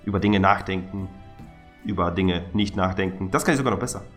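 A man's voice: deep voice, brisk, a bit bright, soft background music. A man co-hosting a podcast, chatting casually with self-deprecating comic banter and stammered repetitions. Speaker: podcast co-host.

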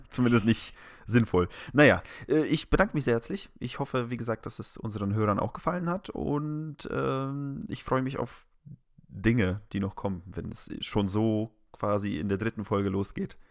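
A man's voice: fairly low pitch, moderate pace, no room sound, clean studio sound. A man with a German accent talking as a podcast co-host in a casual, colloquial, exclamatory way.

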